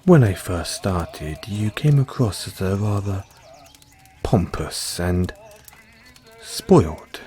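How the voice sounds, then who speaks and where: A man plays a proper British butler with a deep voice that rises and falls expressively. He is formal and measured, wry yet devoted, speaking intimately to his master in an audio roleplay over soft music. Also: sing-song, heavily acted. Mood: approving, formal. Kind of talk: scripted roleplay, intimate second-person address.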